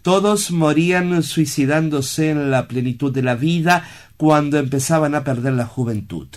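A man's voice: a little high, normal speed, natural voice. A man playing an earnest, lyrical sanatorium director in a radio play, speaking in a solemn, eloquent, declamatory way. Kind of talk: theatrical radio play acting.

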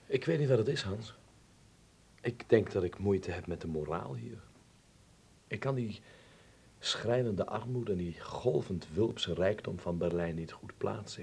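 An actor playing an earnest, eager young Dutchman in a radio drama, expressive and a little hesitant. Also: sits low, gravelly, slightly rough, exaggerated voice.

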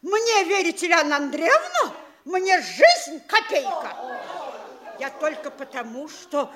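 An actress playing a vain, affected provincial mayor's wife in a theatrical radio play, gushing and self-admiring. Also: female voice, sits high, big pitch swings, unhurried, a crowd in the background. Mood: gushing, boastful.

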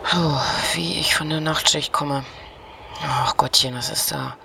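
A quiet young son confiding in a radio play. The voice is creaky, medium in pitch, hushed and halting. A faint bed of ambient noise sits behind the intimate monologue. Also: male voice, steady tone, full character voice. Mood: tender, subdued.

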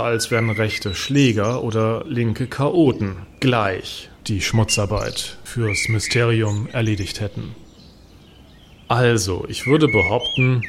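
A man playing a self-righteous political action artist in a satirical radio play, pompous and self-justifying in manner. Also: mid-range voice. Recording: clear background noise with birdsong.

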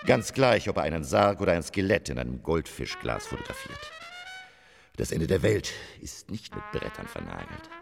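Eccentric theatre lecturer, radio drama, very low voice, hugely varied delivery, pompous, declamatory, irascible. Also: male voice, creaky, full character voice. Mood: grandiose. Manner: pompous, declamatory, rambling, swinging from muttering to bellowing. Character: eccentric, irascible male theatre lecturer obsessed with stage curtains.

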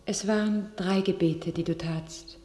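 A woman's voice: fairly low pitch, steady tone, a little slow, mellow, lightly acted. A woman acting a devout, visionary young shepherdess in a classical radio play, declaiming verse solemnly and resolutely. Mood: solemn, prophetic.

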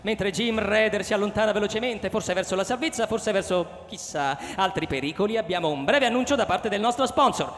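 An actor playing an excitable, slick TV game show announcer of a deadly manhunt in a radio drama, with sensational showman patter. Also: high voice, brisk, hardly any pauses, echoey room, in a large hall. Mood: breathless showmanship.

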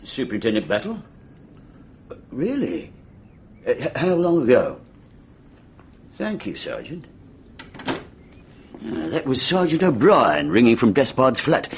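Plain-spoken British police superintendent, very animated pitch. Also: male voice, takes it slowly, long pauses, a little hiss or hum, full character voice. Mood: businesslike. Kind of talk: radio drama acting.

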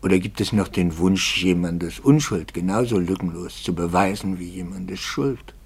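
An anxious priest in a vintage 1950s radio play. His voice is very low and gravelly, with a husky grain. He speaks in a brooding, probing way, sliding from hushed intensity into bitter insistence. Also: normal speed. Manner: brooding, articulate, shifting from hushed to impassioned. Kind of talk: 1950s German radio drama acting. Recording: 1950s radio drama recording.

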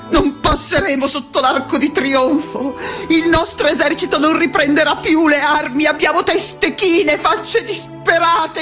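An actress playing an aging, proud and anguished woman teacher in a halting, dramatic theatrical monologue. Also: sits high, a bit bright. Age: older. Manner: halting, repeating words, dramatic. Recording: music under the voice.

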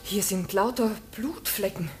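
An actress playing a helpful, startled young daughter of the house in a radio drama. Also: female voice, medium pitch, unhurried, music in the background, lightly acted. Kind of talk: German radio drama acting. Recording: echoey and distant, faint hiss.

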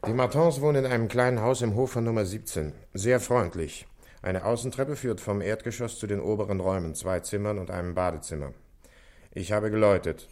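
A man's voice: on the low side, fairly quick, a little mellow, lightly acted. An actor in a 1960s German radio play, playing an earnest, impressionable junior police detective giving his report.

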